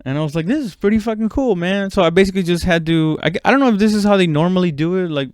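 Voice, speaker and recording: Clear-voiced man rambling through casual comedy stories. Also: high voice, racing, a little mellow, dry recording, studio quality. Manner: rambling, profane, slangy. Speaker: comedian, podcast host.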